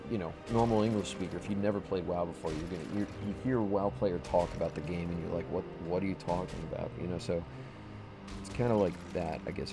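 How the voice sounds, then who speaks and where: A man's voice: low-pitched, creaky. A man streaming a game, chatting casually with his chat in a rambling way, full of restarts and fillers. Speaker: livestreamer playing a game. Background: background music.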